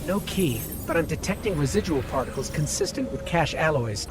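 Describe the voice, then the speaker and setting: An actor playing an anxious, earnest little AI robot companion in a video game, reporting things precisely. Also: male voice, fairly high pitch, animated, brisk, over loud music, natural voice. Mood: focused, earnest.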